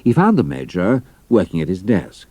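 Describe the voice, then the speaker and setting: A man's voice: deep voice, huge pitch swings, normal speed, dark tone, dry recording. A man with a British accent narrating a scripted adventure story as part of an audio drama.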